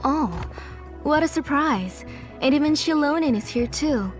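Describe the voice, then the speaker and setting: A hospitable middle-aged mother in a video game. Her voice sits a little high and speaks in a gentle, motherly way, with clear music underneath. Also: lively intonation, measured pace. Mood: warm. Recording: music behind the voice.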